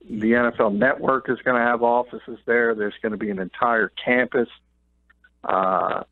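A man giving sports analysis as a columnist guest, talking quickly with short laughs. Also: medium pitch, dry recording. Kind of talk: sports radio analysis by phone. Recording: tinny phone line.

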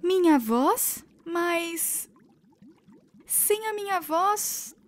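A woman voicing a young mermaid princess in a cartoon, high and pleading. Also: animated, drawn-out words, exaggerated voice. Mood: dismayed.

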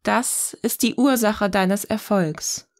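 A woman acting a self-assured, provocative partner in scripted audio-novel dialogue, speaking in a poised, deliberate way. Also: mid-range voice.

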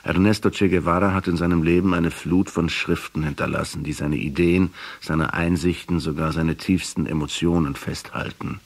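A man playing a pensive middle-aged historian who narrates a radio drama in a husky, reflective, measured way. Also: low voice, fairly even intonation. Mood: sober narration. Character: pensive 39-year-old male historian questioning a captive.

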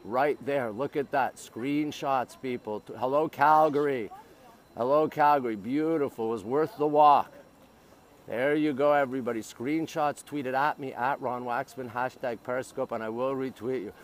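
A man's voice: mid-range voice, normal speed. A middle-aged man chatting casually to live-stream viewers outdoors, in short, repeated exclamatory phrases. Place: outdoors on city streets by a river.

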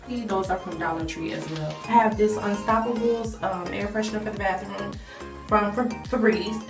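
A woman walks through her freshly cleaned small bathroom with enthusiasm, chatting casually with a few ums. Her phone mic picks up the tiled room's strong echo, and faint music sits behind her. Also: fairly low pitch. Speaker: cleaning vlogger. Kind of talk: casual walkthrough of a finished bathroom.

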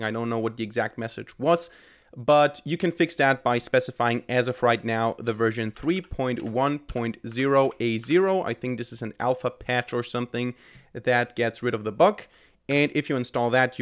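A man in his twenties, a native German speaker, explaining code as a tutorial host into a close desk microphone. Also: medium pitch. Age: 20s. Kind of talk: explanatory screencast narration. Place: small indoor room at a desk. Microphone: desk microphone close in front. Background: keyboard typing.

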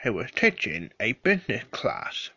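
A man acts a defensive, formal gentleman under questioning in an audio drama. His voice is bright and lively in pitch, earnest in delivery, recorded dry and a little dull. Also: unhurried, husky, no echo, heavily acted. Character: defensive, well-spoken gentleman murder suspect. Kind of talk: scripted audio drama acting.

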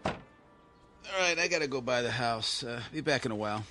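An actor playing a brash, tactless brother-in-law with an offhand, slangy way of talking in a radio drama. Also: male voice, medium pitch, expressive, normal speed, music in the background, natural voice.

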